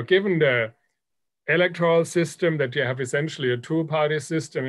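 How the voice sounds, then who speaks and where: A man in his sixties with a German accent, explaining emphatically as an academic panelist on a video call. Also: medium pitch. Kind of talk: unscripted panel discussion over video call. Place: book-lined home office, indoors. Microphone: computer mic on a video call.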